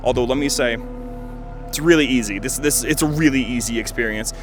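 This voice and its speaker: A man's voice: mid-range voice, fairly quick, soft background music. A man in his twenties giving casual, rambling game commentary as a video host, enthusiastic and full of jokey asides. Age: 20s. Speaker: video host reviewing fan games.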